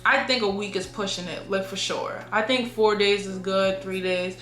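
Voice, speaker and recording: A woman's voice: medium pitch, steady tone, normal speed, a bit bright, reverberant, a little hiss or hum. A young woman giving frank, chatty advice straight to her viewers, full of "like" and "y'all". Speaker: beauty and lifestyle vlogger. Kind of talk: casual advice monologue to camera.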